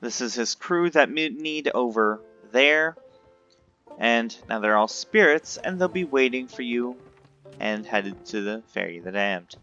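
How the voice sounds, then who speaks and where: A man's voice: mid-range voice, expressive, measured pace, sharp tone. A man giving informal, instructive walkthrough commentary for a video game. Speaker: video game guide maker. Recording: dull lo-fi mic, faint game music. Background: video game music.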